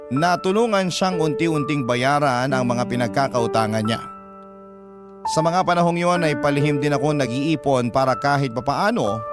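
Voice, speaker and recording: A man tells a scripted first-person story in the manner of a radio drama narrator. His pitch is medium, and music plays clearly behind him. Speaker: storyteller on a love stories radio show. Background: background music.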